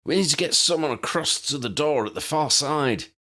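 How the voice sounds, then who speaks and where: A man voicing a brave young male hero in an audiobook, urgent, commanding and half-shouting. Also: fairly high pitch, expressive, moderate pace, voice pushed up.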